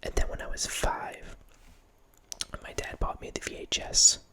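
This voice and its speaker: A man in his thirties hosting a whispered ASMR ramble, speaking softly right into a close studio microphone in a small home room, with lots of self-corrections. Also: unhurried. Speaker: ASMR channel host. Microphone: close studio microphone, wearing headphones.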